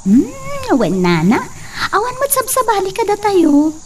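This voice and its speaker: Young woman as a sweet polite village girl, high voice, far-ish mic. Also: huge pitch swings, a little slow, darkish tone, heavily acted. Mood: kind. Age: young adult. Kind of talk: amateur drama acting. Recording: distant mic with faint insect noise.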